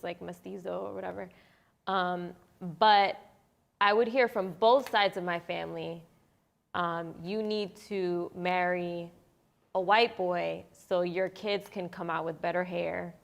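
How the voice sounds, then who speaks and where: A woman's voice: fairly low pitch, steady tone, unhurried, gravelly. A woman on a panel, telling a personal story in a chatty way and laughing easily. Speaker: guest panelist. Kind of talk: casual panel conversation.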